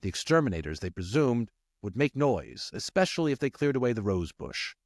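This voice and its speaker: A man's voice: mid-range voice, very animated, fairly quick, no room sound, clean studio sound. A man narrating a story expressively, as an audiobook reader.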